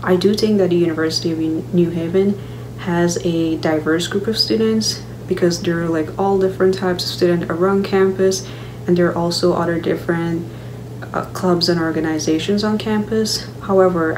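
A young woman from Guyana talks in a friendly, steady way that sounds a little recited, speaking into a laptop webcam in her bedroom. Her voice is lowish for a woman, and a clear electrical hum runs underneath it. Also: normal speed. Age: young adult. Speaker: student speaker. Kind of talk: webcam testimonial. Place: bedroom at home.

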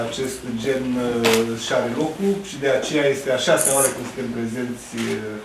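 A man in his fifties speaking hesitantly, with many fillers, about an exhibition. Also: mid-range voice. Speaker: exhibition representative. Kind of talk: interview statement. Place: museum exhibition hall. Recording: echoey hall, distant mic, noise.

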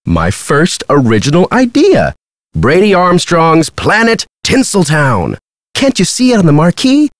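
A self-important young Hollywood heartthrob for an adventure game. He talks in a glib, showy way with very lively swings of pitch, recorded dry and close. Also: a little slow, no echo. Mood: boastful. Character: vain smooth-talking young male movie star. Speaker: actor character in an adventure game. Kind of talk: video game voice acting.

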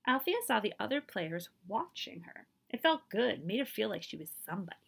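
A woman in her thirties reading a children's picture book aloud expressively, pausing to put questions to her listeners. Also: mid-range voice, gravelly, breathy edge. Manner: animated, asks listeners questions. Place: small room indoors.

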